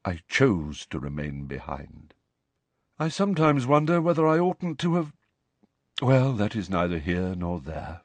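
A man voicing a resigned, courtly male ghost in an audiobook, speaking quietly and formally with hesitant, sighing phrases. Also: mid-range voice, normal speed, dry recording, pitched above the performer's own voice, close to an everyday voice. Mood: wistful. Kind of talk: audiobook character voice.

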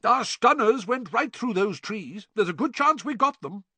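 A man voicing a bold, excitable middle-ranking wizard in a storybook, confident and emphatic. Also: high-pitched, moderate pace, breathy edge, no room sound, voice pushed up, full character voice.

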